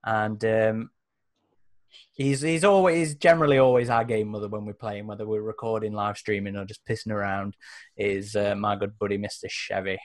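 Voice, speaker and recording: A man's voice: on the low side, steady tone, moderate pace. A man in his thirties chatting casually as a host and player in a tabletop roleplaying game, on an indoor call. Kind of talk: casual chat. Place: indoor room on a video call.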